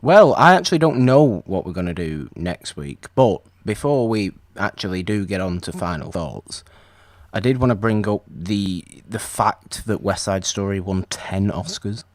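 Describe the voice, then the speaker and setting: A man's voice: lowish voice, expressive, normal speed, no room sound. A man co-hosting a podcast, chatting casually about an old film and rambling with restarts. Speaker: podcast co-host.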